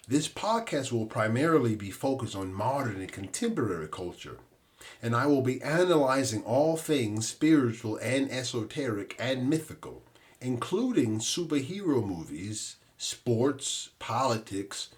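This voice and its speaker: A man delivering a scripted podcast introduction as host, in an earnest, deliberate manner. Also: mid-range voice.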